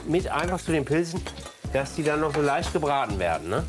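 A man's voice: fairly high pitch, big pitch swings, a little fast, over music. A man hosting a cooking segment, chatting casually and exclaiming with enthusiasm. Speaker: TV cook and show host. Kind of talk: casual on-location chat while cooking.

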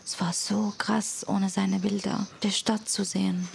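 A woman telling her own story slowly and emotionally, her speech plain and unhurried. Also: medium pitch, little pitch movement, vocal fry, a little hiss or hum. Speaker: interviewee. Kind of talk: personal account.

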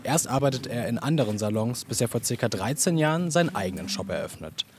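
A man narrating a TV feature with plenty of movement in his voice, telling the story in a lively way. Music plays clearly under his voice-over. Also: mid-range voice, quick pace. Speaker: reporter. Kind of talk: scripted TV report narration. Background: music bed.

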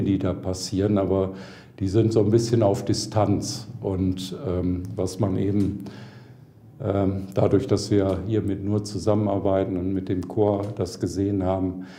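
Very dark, deep-voiced man in echoey room. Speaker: interviewee, company colleague. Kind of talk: hesitant interview answer.

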